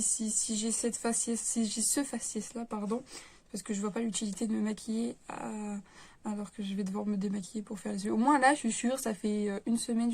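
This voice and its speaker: A woman's voice: on the high side, warm tone. A young woman chatting casually to her camera at home, reviewing a beauty kit and rambling with lots of fillers. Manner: rambling, lots of filler words and self-corrections. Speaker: beauty vlogger.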